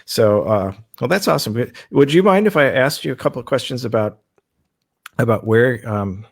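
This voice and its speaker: A man hosting a casual interview, speaking haltingly, repeating words and circling his way toward each question. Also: medium pitch, expressive, gravelly. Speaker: interview host.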